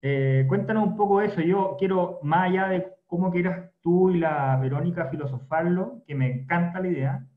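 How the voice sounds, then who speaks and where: A man's voice: high voice, normal speed, hardly any pauses. A man with a Chilean accent hosting and moderating a casual chat with an upbeat, chatty manner. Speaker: host, moderator. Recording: roomy, dull lo-fi call audio.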